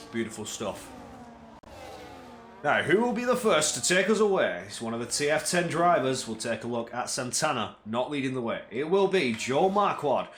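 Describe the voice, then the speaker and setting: A man doing solo live-stream race commentary, energetic play-by-play that climbs in pitch at tense moments, with chatty asides in quiet spells. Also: reverberant, slight background noise.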